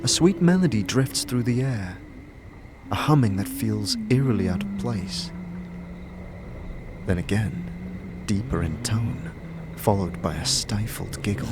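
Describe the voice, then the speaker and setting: A man narrating a scripted second-person adventure story in a measured, dramatic manner. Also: fairly low pitch, animated, background noise. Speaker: narrator, game master. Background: music.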